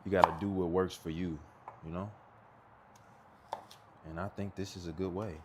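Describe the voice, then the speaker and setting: A man's voice: low-pitched, level tone, normal speed, background noise. A man in his thirties with a Texan accent, chatting casually and rambling in slang while he cooks at home. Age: 30s. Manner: laid-back, rambling, slangy. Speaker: cooking vlogger. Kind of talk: casual chat while cooking. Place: home kitchen.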